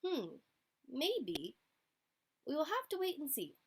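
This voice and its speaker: Woman doing a hungry raccoon guy, storybook style, eager, pondering, highish pitch, animated delivery. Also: slow pace, a little hiss or hum, heavily acted. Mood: pondering, impatient. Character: hungry, scruffy male raccoon, hopeful and trying patience.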